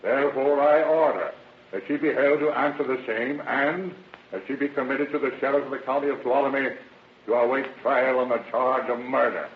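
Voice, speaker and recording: An actor playing a solemn, measured judge issuing formal rulings in an old radio drama. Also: male voice, mid-range voice, fairly even intonation, lightly acted. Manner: measured, official. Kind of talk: 1940s radio acting. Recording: echoey, muffled, noisy old radio recording.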